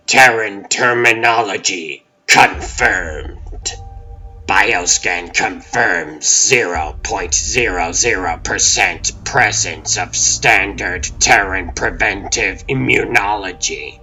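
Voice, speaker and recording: A man's voice: fairly low pitch, sharp tone, exaggerated voice, low-quality recording. A man voicing a cold, analytical alien machine intelligence in a comic dub, reciting scan reports in a clinical, clipped manner.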